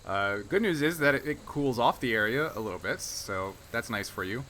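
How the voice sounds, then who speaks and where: A man's voice: medium pitch, animated, moderate pace, pushed and bright, slight background noise. A man running a tabletop role-playing game, casually narrating and explaining the rules. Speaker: game master.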